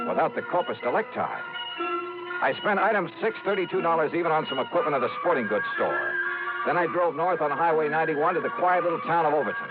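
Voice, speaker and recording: A man's voice: a little high, close to an everyday voice. An actor playing a tough, wisecracking male insurance investigator, narrating and questioning in 1950s radio drama style. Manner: tough, breezy first-person narration. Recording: narrow phone-like old radio recording.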